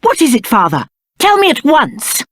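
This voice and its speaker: A man's voice: extremely high pitch, wildly swooping pitch, normal speed, a bit bright, no echo, clean studio sound, full character voice. A British man playing a spoiled, cowardly young prince in a video game, with a pompous, petulant and theatrical delivery. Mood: indignant, furious. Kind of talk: video game character acting.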